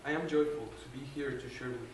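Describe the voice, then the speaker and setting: A man's voice: medium pitch, fairly even intonation, fast, slight background noise. A young man from Guatemala sharing a personal faith testimony as a student, earnest and hesitant, with many fillers and restarts. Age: young adult. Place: large room with an audience. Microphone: no mic, distant recorder. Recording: echoey room from far off.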